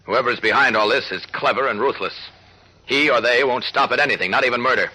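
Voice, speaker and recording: An actor playing a grave, resolute hero in a 1940s radio serial, speaking with decisive authority. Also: male voice, mid-range voice, fast, sharp tone. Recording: noisy old radio broadcast recording.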